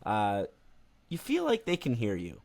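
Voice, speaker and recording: A man co-hosting a casual sports podcast, telling stories in a relaxed way with many fillers. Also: mid-range voice, measured pace. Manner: relaxed storytelling with frequent fillers. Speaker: podcast co-host.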